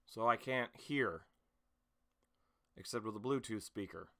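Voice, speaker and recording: Bright-voiced, self-deprecating man chatting. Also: fairly low pitch, measured pace. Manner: self-deprecating, easygoing rambling. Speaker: amateur voice actor. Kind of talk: casual chat to camera.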